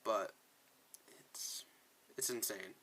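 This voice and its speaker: A man's voice: lowish voice, fairly even intonation, drawn-out words, bright, forceful tone, slightly rough. A man giving casual, opinionated commentary as he plays a video game, often sounding exasperated. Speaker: gaming video creator, player. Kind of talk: casual game commentary with dialogue read aloud.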